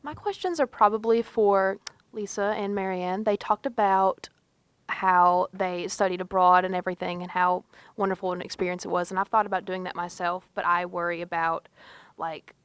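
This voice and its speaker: A woman's voice: lowish voice, fairly even intonation, moderate pace, clear, resonant tone, no room sound. A young woman in the audience asking a tentative question, using "like" often.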